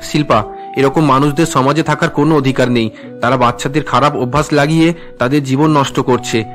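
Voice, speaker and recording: A man's voice: medium pitch, fairly even intonation, a little fast, a bit bright, faint music behind, natural voice. A man playing an earnest, wounded young husband and son in a cartoon drama, with dramatic questioning delivery. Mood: earnest, hurt.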